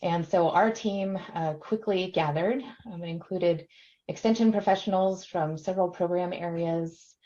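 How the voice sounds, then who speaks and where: A woman's voice: fairly low pitch, a little slow, warm tone. A woman who works in extension, speaking steadily in a documentary interview with frequent fillers. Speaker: extension professional. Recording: dull lo-fi recording.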